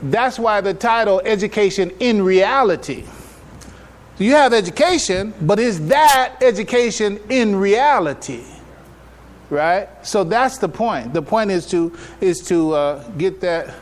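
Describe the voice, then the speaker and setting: A man giving a religious lecture as an imam, conversational and halting, repeating phrases and checking in with his listeners. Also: sits high, expressive. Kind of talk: religious lecture, explaining to an audience.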